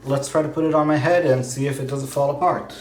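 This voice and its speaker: A man hosts a casual how-to video, talking through each step as he tinkers and thinks aloud. His voice sits at an ordinary middle pitch. The room around him rings with a noticeable echo. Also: steady tone, quick pace, a little hiss or hum. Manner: chatty, thinks aloud while fiddling with gear. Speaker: vlogger. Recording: echoey room.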